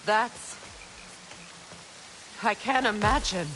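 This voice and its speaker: A woman playing a resolute, wounded warrior in a video game, speaking in a serious, measured way. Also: mid-range voice, fairly bright tone, a little hiss or hum. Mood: somber. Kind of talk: dramatic video game acting.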